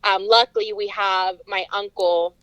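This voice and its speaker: A woman's voice: medium pitch, steady tone, measured pace, a bit bright, smooth, no echo, muffled recording. A woman from California speaking as a podcast guest, telling personal stories in a casual way. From California, United States.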